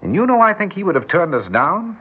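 An actor playing an earnest small-town mayor and devoted husband, speaking haltingly in an old-time radio drama style. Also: male voice, sits high, big pitch swings. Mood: reflective. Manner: halting, wistful reminiscing, stammering when confused. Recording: tinny, hissy old radio recording.

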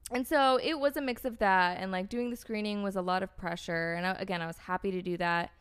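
An American woman in young adulthood speaks in an easygoing, conversational way. Her voice is smooth and clear at a middling pitch. She wanders through her thoughts, often restarting and filling gaps with "like" and "um.". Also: moderate pace. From United States. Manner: rambling, heavy use of "like" and "um", frequent restarts. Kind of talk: casual conversational storytelling.

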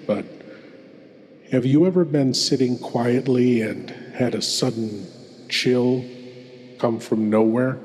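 A man playing a sinister, drily mocking horror host, speaking ominously with deliberate pauses. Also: fairly low pitch, darkish tone. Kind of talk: theatrical horror anthology hosting. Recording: long cavernous reverb, music behind.

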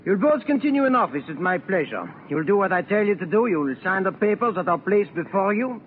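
Condescending Nazi occupier, menacing, vintage radio drama, tinny sound with faint noise. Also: male voice, high voice, big pitch swings, a little fast. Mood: coolly menacing. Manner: condescending, coolly threatening. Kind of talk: 1940s radio drama acting. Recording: tinny, noisy 1940s radio recording.

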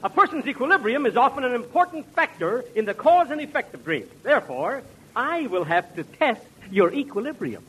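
High-pitched, pompous crackpot professor. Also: male voice, sing-song, fairly quick, a bit bright, husky, exaggerated voice. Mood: pompous, fussy. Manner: mock-learned, pompous, grandly explaining. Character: pompous crackpot professor, fussy quack expert on dreams. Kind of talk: 1940s radio comedy acting. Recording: noisy vintage radio broadcast.

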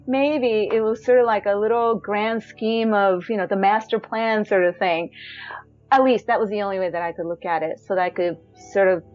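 A woman in her thirties with a high voice, reflectively telling her life story in a chatty way, full of fillers and restarts. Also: a little mellow, dull audio. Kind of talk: personal storytelling.

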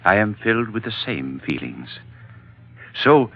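An actor playing a gracious, fatherly patriarch who speaks slowly and formally in a 1940s radio drama. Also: fairly low pitch, very animated, heavily acted. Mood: solemn, moved. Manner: slow, formal, halting speech. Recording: very thin, lo-fi old radio recording.

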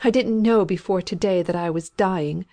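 A woman's voice: lowish voice, moderate pace, mellow, natural voice. A woman voicing a terrified dying old woman. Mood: terrified pleading. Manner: frantic, pleading, dialect speech.

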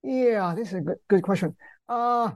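An older man with a Hong Kong accent, a professor speaking conversationally in an interview, halting and repeating words. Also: high-pitched, no room sound, clean studio sound. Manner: halting, repeats words, non-native English phrasing. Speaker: history professor, author being interviewed.

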